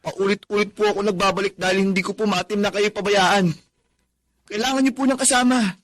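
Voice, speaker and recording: Pleading, devoted son, highish voice. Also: male voice, fairly even intonation, a little fast, fairly bright tone, dry recording. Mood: pleading, anguished. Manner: earnest, pleading, respectful. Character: devoted, long-suffering poor son, gentle and pleading. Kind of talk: Tagalog radio drama acting.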